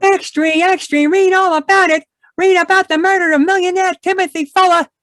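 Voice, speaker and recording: A man voicing a newsboy hawking papers with a loud cry in an old-time radio play. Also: very high voice, steady tone, fairly quick, no room sound, clean studio sound, pitched above the performer's own voice. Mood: urgent hawking.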